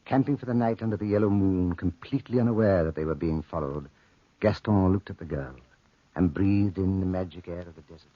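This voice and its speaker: A man narrating a 1940s radio drama in a measured, dramatic storytelling style. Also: deep voice, no room sound. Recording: muffled, dry 1940s radio recording.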